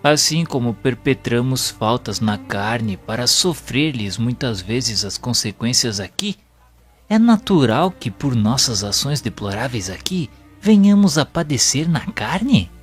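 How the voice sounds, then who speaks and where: Music sits behind a husky male voice of medium pitch. An actor plays an earnest, respectful pupil in a spiritual audio drama, phrasing his words formally and with care. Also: sing-song, unhurried. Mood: earnest, inquiring. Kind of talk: audio drama, narration and questioning dialogue.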